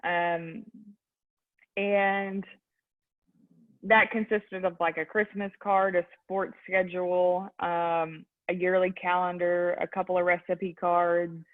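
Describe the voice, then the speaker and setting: A woman's voice: fairly low pitch, flat delivery, slow. Young-sounding Texan woman over a tinny line. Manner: youthful voice, many ums, earnest. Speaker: real estate agent guest. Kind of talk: casual interview answers.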